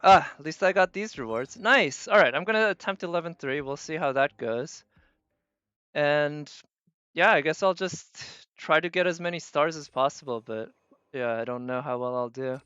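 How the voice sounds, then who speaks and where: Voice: medium pitch, moderate pace, fairly bright tone, clean tone, no room sound, muffled recording. Casual game streamer muttering through play. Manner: talking to self while playing, mild swearing. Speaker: game livestreamer. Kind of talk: casual live gameplay commentary.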